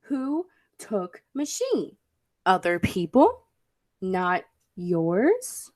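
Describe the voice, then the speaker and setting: A woman's voice: medium pitch, huge pitch swings, drawn-out words, a little mellow, no echo, heavily acted. A woman playing a suspicious, hot-tempered male time traveller in a radio play, with an urgent, demanding manner. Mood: angry, demanding. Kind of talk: 1940s radio play acting.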